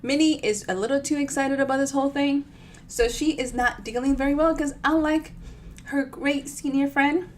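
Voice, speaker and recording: Woman reading their book out loud, chatty and super expressive, highish voice, bit of background noise. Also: normal speed. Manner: animated, chatty, informal. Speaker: author reading their own novel on a live show. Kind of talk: expressive storytime reading with casual asides.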